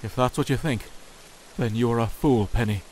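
A man playing a defensive demon thug, blunt and halting, in an audio comic dub. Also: medium pitch, a little mellow, a little hiss or hum, natural voice, poor audio. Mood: cold. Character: gruff, defensive male demon thug, famous ex-fighter. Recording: background music. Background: music.

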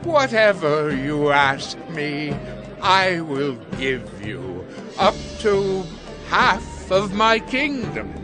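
A man playing a besotted king in an audio Bible drama, making lavish vows in a grandiose, drawn-out manner. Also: fairly high pitch, sing-song, over music, heavily acted. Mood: grandiose, indulgent.

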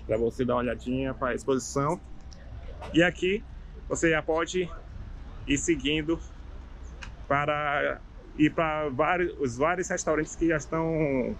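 A young Bahian man gives a chatty, upbeat walking tour outdoors, pointing out sights as he goes. His voice sits a little high for a man. Faint street noise hums in the background. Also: a bit bright, poor audio. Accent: Bahian Brazilian Portuguese. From Salvador, Bahia, Brazil. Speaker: travel vlogger. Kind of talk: casual walking-tour vlog. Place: outdoors on a seaside hillside street.

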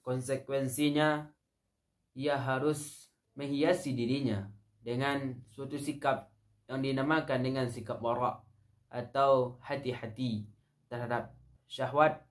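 A young man in his late teens from West Sumatra delivering a formal Friday sermon in a measured, solemn way, chanting the verses he recites. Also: medium pitch. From West Sumatra, Indonesia. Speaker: student sermon contestant.

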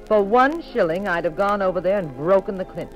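An actress playing a witty, jealous detective's wife, narrating in an arch 1950s radio drama style. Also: female voice, medium pitch, expressive, a little fast, lo-fi sound, close to an everyday voice. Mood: wry indignation. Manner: wry, arch. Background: music behind the voice.

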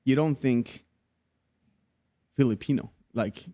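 Animated man hosting a studio podcast. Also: mid-range voice, slow. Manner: animated storyteller, acts out voices when telling stories. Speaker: podcast host. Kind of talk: casual interview chat. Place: bright studio room. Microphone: studio mic on boom arm, headphones.

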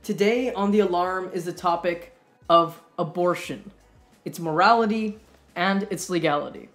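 A young Canadian man co-hosting a talk show, explaining in an earnest, measured way with deliberate pauses. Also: sits high, a bit bright, faint music behind. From Winnipeg, Canada. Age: young adult. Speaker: co-host. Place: room at home with whiteboard and fridge, indoors.

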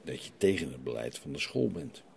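A man voicing a blunt, confrontational priest in an audio drama, husky, asking terse probing questions. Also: very deep voice, sing-song, fairly quick, darkish tone, pitched below the performer's own voice, heavily acted.

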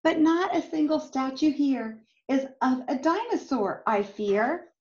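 A woman's voice: high voice, expressive, a little slow, darkish tone, dull audio. A middle-aged woman reading a picture book aloud expressively to young children, with little asides to them. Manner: addresses young listeners with questions and asides. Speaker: storytime reader. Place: indoors, home room with bookshelves.

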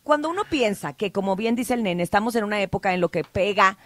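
A woman's voice: medium pitch, animated, fast talker, fairly bright tone, dry recording. A woman hosting a radio show, chatty and reflective in a studio. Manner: chatty, reflective, laughs often. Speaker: radio host. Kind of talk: casual radio talk.

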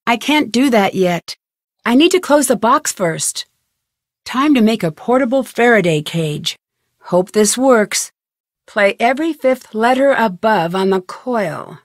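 A woman playing a young, curious and determined amateur detective in a video game, thinking aloud in clearly articulated scripted lines. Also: on the high side, lively intonation, measured pace, no room sound, studio quality. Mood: musing, focused.

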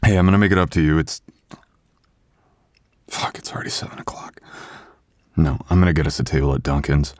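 A man playing a sweet, apologetic, devoted young husband in an intimate boyfriend ASMR roleplay, with a halting, tender, self-deprecating delivery. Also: a bass voice, dry recording, clean studio sound. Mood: tender, reassuring.

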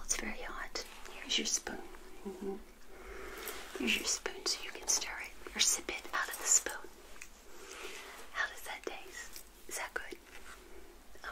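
Whispered ASMR host, older woman, friendly witch persona, gentle and hospitable, very unhurried delivery. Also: medium pitch, low-quality recording. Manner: gentle, hospitable, repeats phrases softly.

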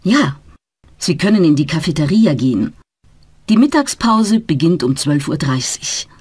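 A woman's voice: sits low, very animated, normal speed, darkish tone, breathy edge, no room sound, full character voice. Woman voicing a friendly German teacher. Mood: welcoming. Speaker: teacher in a dialogue. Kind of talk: slow scripted learner dialogue.

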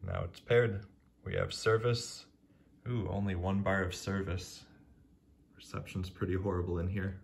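A man's voice: low voice, level tone, unhurried, creaky. A man explaining his own electronics project in a plain, matter-of-fact way, recorded indoors at home by a camera. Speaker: hobbyist maker showing his project. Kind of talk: casual tech demo narration. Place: indoors at home, over a wooden table.

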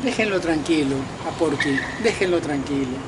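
A man in his fifties from Peru, talking straight to the camera outdoors at night in a casual, slangy, animated monologue. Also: a little high, normal speed, very noisy. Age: 50s. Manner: animated, talks to viewers directly with slangy asides. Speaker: vlogger and self-declared political candidate. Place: outdoors at night on a balcony over a lit city.

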